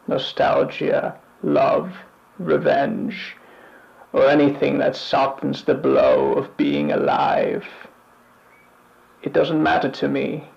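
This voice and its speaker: A man playing a bleak, weary otherworldly narrator in an analog horror piece, speaking solemnly and deliberately with a gravelly voice. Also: on the high side, a little mellow, dull audio, exaggerated voice. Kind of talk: slow scripted analog horror monologue.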